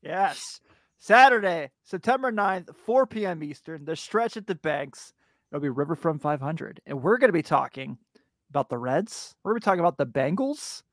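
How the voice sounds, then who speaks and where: A man hosting a casual sports podcast, hyped and exclamatory, with a raspy voice today. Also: fairly high pitch, sing-song, a bit bright, no echo. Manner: hyped, exclamatory, drawn-out hype words. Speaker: podcast host.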